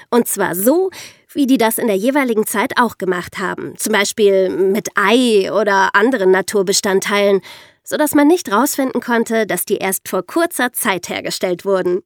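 A woman's voice: mid-range voice, animated, normal speed, no room sound, clean studio sound. A woman hosting a podcast, explaining things in a storytelling way with rhetorical questions. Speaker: podcast host.

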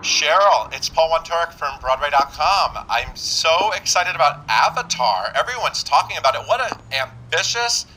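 An eager male entertainment journalist in an audio drama. His voice is bright, forceful and fairly high. He gushes with strong emphasis. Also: animated, moderate pace, hardly any pauses, a little hiss or hum, low-quality recording. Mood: gushing. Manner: gushing, emphatic. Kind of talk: modern audio drama acting.

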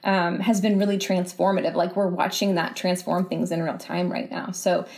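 A woman's voice: lowish voice, little pitch movement, normal speed, darkish tone. Thoughtful woman explaining in an interview. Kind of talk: thoughtful podcast interview conversation.

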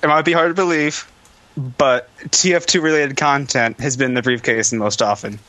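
A man guesting on a podcast, explaining things casually in a halting way. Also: medium pitch, bright, forceful tone, dry recording, low-quality recording.

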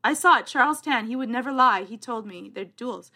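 A Canadian woman, a therapist, co-hosting a casual chat podcast, bubbly and quick to laugh. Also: a little high, sharp tone.

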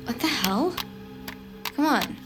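A woman's voice: high-pitched, expressive, slow. An actress playing an intense, shaken young survivor in an audio drama, with music and effects behind her. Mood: startled. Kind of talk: audio drama narration and dialogue.

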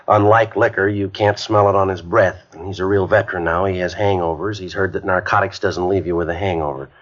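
An actor playing an earnest police sergeant rehearsing a school speech in a 1950s radio drama, heard on a muffled old broadcast recording. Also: male voice, deep voice. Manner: earnest, plain-spoken lecturing. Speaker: police detective giving a speech.

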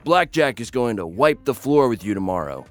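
A man's voice: medium pitch, very animated, moderate pace, dry recording, soft background music, voice pushed up, natural voice. An actor voicing a hostile male fighter's crony in an audio drama, confrontational and indignant as he roars threats. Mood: threatening.

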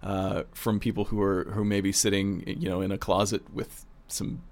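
A man's voice: low voice, little pitch movement, normal speed, vocal fry, a little mellow. A man co-hosting as a producer, asking questions and chatting in a casual, conversational interview style.

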